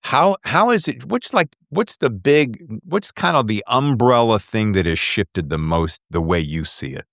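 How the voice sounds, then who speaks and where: A man hosting a podcast, interviewing casually in an effusive, playful, expressive manner. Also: mid-range voice, a little slow, gravelly, hardly any pauses, dry recording, studio quality. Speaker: podcast host interviewing an author.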